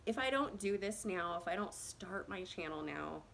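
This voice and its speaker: A woman's voice: on the low side, expressive, normal speed, vocal fry, a bit bright, slight background noise. Chatty rambling woman in her thirties. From Indiana, USA. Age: 30s. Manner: rambling and chatty, with many fillers and self-conscious laughs. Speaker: vlogger telling her own story. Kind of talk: casual storytime chat to camera. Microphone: phone camera.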